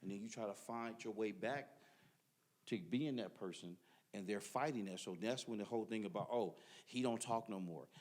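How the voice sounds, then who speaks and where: A man's voice: lowish voice, moderate pace, darkish tone. A middle-aged man in casual podcast debate, talking emphatically and repeating words when others cut in. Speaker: podcast guest.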